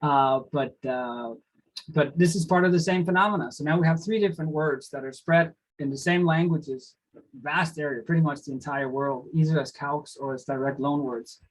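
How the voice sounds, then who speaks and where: A man's voice: a little high, moderate pace, poor audio. A man whose first language is Danish, a doctoral researcher giving an academic lecture over slides, often hesitating with fillers and restarts.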